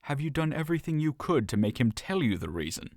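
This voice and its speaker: An earnest young cousin, voiced by an actor in an audio drama, coaxing and full of concern. His pitch rises and falls in a lively way, and the sound is dry and close. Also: quick pace, no room sound. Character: caring young male cousin, earnest friend.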